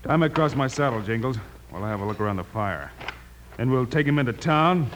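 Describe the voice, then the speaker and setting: An American actor playing a heroic, steady cowboy marshal in a terse, commanding manner in a 1950s radio western. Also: male voice, medium pitch, fast talker, natural voice. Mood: grim, thoughtful. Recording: noisy old radio transcription.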